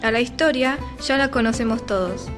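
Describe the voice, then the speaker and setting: A woman's voice: a little high, a little fast, over music. A young woman giving scripted narration for a student radio play. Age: teenager or young adult.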